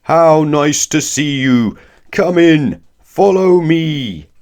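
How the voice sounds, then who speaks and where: Huge booming giant wizard. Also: male voice, expressive, exaggerated voice. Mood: hearty greeting. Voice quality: booming, thunderous. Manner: loud, drawn-out exclamations. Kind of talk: children's storybook read-aloud.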